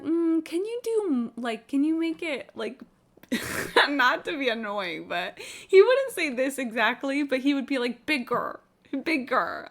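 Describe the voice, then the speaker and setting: A woman live-streaming a game, chatting casually in an expressive, chatty, sweary way. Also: high-pitched. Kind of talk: casual livestream chat over gameplay. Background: faint game music.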